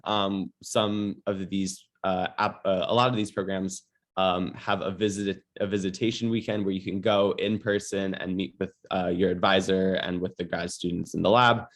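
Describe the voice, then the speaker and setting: A man moderating an online webinar, giving advice in a casual, halting way full of ums and likes. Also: deep voice. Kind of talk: casual presentation.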